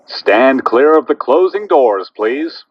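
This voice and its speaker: A man's voice: medium pitch, animated, slow pace, husky, dull audio. A man giving formal, instructing pre-recorded announcements on a subway train.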